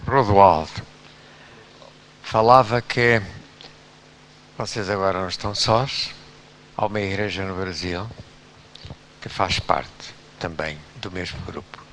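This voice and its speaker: Male pastor, low and bright voice, slow exhorting delivery, faint hum behind. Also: poor audio. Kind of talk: church address.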